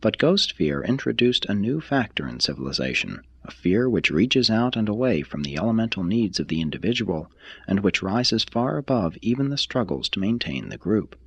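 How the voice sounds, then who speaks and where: A man reading a written text aloud like an audiobook, in a measured, formal, deliberate manner. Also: fairly low pitch, sing-song, a bit bright, breathy edge, no echo. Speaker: reader of a text. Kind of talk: scripted reading, audiobook-like narration.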